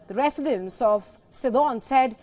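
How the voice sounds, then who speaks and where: High-pitched woman reading the news. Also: a little slow, darkish tone. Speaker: news presenter. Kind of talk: scripted news narration.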